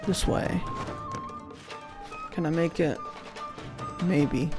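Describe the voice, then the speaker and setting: A woman streamer giving casual, sweary game commentary, muttering to herself in a gravelly voice. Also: low voice, extremely slow. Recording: loud game music behind.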